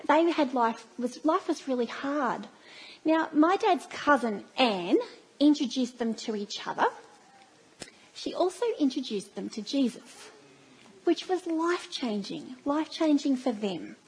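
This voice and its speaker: A woman's voice: high-pitched, lively intonation, measured pace, slight background noise, low-quality recording. A middle-aged Australian woman giving a sermon in a church, telling stories with playful asides. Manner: warm storytelling, playful asides. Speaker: preacher.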